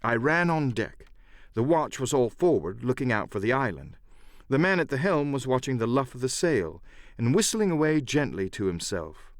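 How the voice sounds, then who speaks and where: A man narrating an adventure novel as dramatic, scripted storytelling in a measured literary style. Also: mid-range voice, sing-song, no room sound, clean studio sound. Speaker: audiobook narrator and voice actor.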